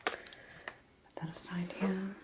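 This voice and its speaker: A woman in an ASMR roleplay, speaking in a breathy whisper as a serene, faintly sinister sleep clinic doctor, with soothing, deliberate reassurance. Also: mid-range voice, flat delivery, background noise.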